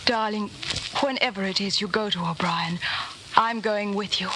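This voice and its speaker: A devoted young woman in a vintage radio play, voiced by an actress. She is tender yet steadfast, mid-pitched, with strong noise on the old recording. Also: normal speed, natural voice. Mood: tender, resolute. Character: loyal, tender, resolute young woman lover. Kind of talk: 1940s radio drama acting. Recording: noisy 1949 radio broadcast recording.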